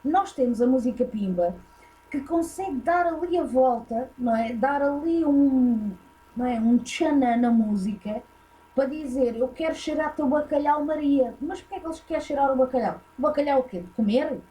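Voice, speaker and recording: A woman's voice: high-pitched, moderate pace, warm tone, low-quality recording. A woman with a European Portuguese accent, hosting a casual reaction video and giving blunt, opinionated commentary full of fillers.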